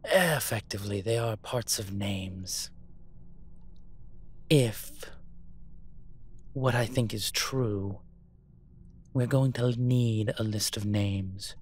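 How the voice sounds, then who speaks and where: A man playing a suave incubus demon professor in a roleplay audio drama, measured, wry and teasing. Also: lowish voice, slightly rough. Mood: measured, scholarly.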